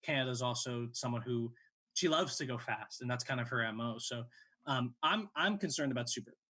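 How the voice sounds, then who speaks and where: A man's voice: mid-range voice, a little fast, pushed and bright. A man in his late twenties hosting a sports show, giving casual game analysis in a conversational way.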